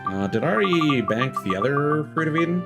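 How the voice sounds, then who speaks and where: A man's voice: on the high side, big pitch swings, normal speed. A man streaming a game in casual chat, reasoning things through aloud in an analytical way. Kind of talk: casual gameplay commentary chat. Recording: game music behind the voice. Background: video game music.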